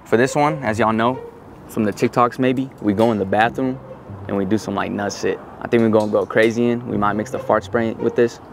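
A man's voice: fairly low pitch, fairly even intonation, a little fast, gravelly, noisy background. A young man hosting a prank video, talking in casual slang as he is filmed out and about. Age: young adult. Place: out and about in car parks and stores.

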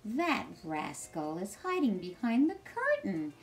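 A gentle storybook mother, acted by a woman reader, coaxing her child in a sing-song way. Her pitch is lively and her pace unhurried. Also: heavily acted. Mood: happy. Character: gentle mother calling to her young son.

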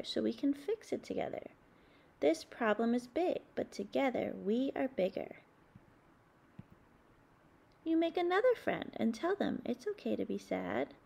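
A woman hosts a read-aloud for young children with careful, expressive phrasing. Her voice is high and soft-edged, on the mellow side, with a breathy husk running through it. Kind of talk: read-aloud storybook narration with discussion questions.

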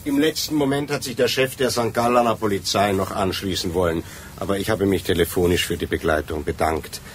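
An actor playing an officious, condescending examining magistrate in a radio play, speaking formally. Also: male voice, deep voice, a little fast, a little hiss or hum, close to an everyday voice.

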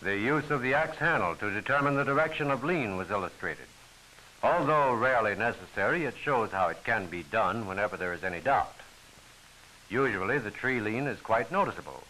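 Bright-voiced man narrating an old training film. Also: lowish voice, animated, a little fast. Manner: formal, instructional phrasing. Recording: hissy old film soundtrack, noisy.

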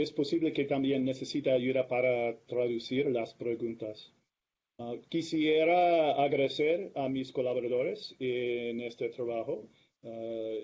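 Middle-aged guy, american accent, non-native speaker giving a talk over video call, dark voice, muffled lo-fi sound. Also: mid-range voice. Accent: American English. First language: English. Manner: slow, careful non-native delivery with many hesitations. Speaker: guest lecturer. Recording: dull lo-fi video call audio.

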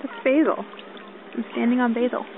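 A woman's voice: fairly high pitch, steady tone, normal speed. A young woman off camera, filming her toddler at a lakeshore on a phone and coaxing her in a playful sing-song voice. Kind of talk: home video talk to a toddler. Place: outdoors at a lakeshore. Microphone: phone held by the camera operator. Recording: muffled phone audio with water noise. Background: lapping water and splashing.

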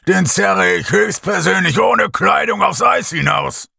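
A man's voice: high-pitched, measured pace, vocal fry, bright, forceful tone, slightly rough, no room sound, clean studio sound, voice pushed up, heavily acted. A man voicing a domineering bearded ship captain who bellows threats at his crew. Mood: bullying, boisterous.

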